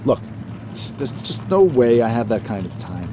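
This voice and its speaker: An actor playing a gruff, suspicious boat captain down on his luck in an audio drama. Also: medium pitch, big pitch swings, measured pace, noisy background. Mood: weary, frustrated. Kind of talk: full-cast audio drama acting. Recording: music behind the voice.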